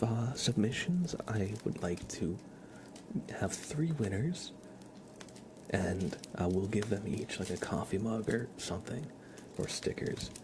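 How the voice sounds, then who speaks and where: A man hosting an ASMR livestream, chatting casually and softly, often slipping toward a whisper, with noise behind him. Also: lowish voice. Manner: soft-spoken, often drops to a whisper. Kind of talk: soft casual ASMR chat. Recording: clear background noise on livestream.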